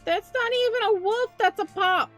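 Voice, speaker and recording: A woman's voice: extremely high pitch, normal speed, fairly bright tone, soft background music. A woman streaming live as a VTuber, chatting casually with her viewers and joking about herself, her voice tired. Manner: chatty, talks to chat, self-mocking.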